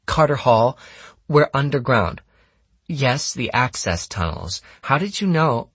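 Man voicing an indignant high-pitched teenage girl. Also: big pitch swings, slow, fairly bright tone, slightly rough, dry recording, exaggerated voice. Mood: urgent. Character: indignant teenage girl accusing someone of theft.

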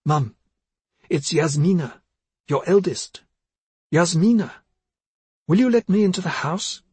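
A man's voice: a little high, animated, unhurried, a little mellow, husky, no echo, pitched above the performer's own voice, exaggerated voice. A man voicing an anxious adult daughter in a story, urgent and imploring. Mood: pleading. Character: anxious, pleading adult daughter, eldest child.

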